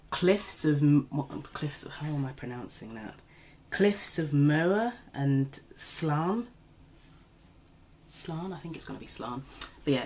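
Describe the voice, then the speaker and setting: A woman recovering from a cold, slightly nasal, chatting in a rambling, self-deprecating way while doing her makeup at home. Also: low-pitched. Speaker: beauty vlogger. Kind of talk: casual makeup tutorial chat.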